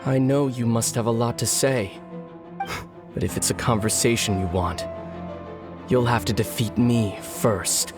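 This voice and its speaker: A man's voice: medium pitch, music in the background, close to an everyday voice. A man as a proud, composed fantasy adversary. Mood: confident, challenging. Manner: measured, theatrical, self-assured. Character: proud, composed adversary challenging an old foe. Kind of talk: fantasy video game voice acting.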